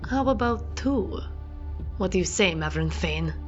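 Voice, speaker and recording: A woman's voice: lowish voice, animated, measured pace. A woman voices a vampire conquistador in a fantasy audio drama. She is mocking, confident and theatrical, with a thirst for blood. The sound is a little dull, with faint music underneath. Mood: smug taunting.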